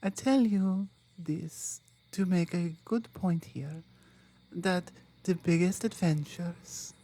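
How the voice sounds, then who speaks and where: A woman playing a loving mama bear in an ASMR bedtime roleplay, a soft low voice and gentle, patient, broken English. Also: slow pace. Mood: soothing storytelling. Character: loving adult mama bear soothing cubs to sleep.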